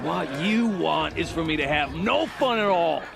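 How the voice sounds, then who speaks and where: A drunken village man in a video game, whiny and defiant. His high voice swoops about as he calls out petulantly. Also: animated, unhurried, vocal fry, heavily acted. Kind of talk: video game background dialogue.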